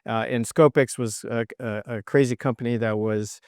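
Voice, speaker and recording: Smooth-voiced, hesitant middle-aged man. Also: mid-range voice, fairly even intonation, a little mellow, no room sound, clean studio sound. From Canada. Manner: hesitant, thoughtful, halting. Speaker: podcast guest, technology executive. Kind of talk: reflective interview answers.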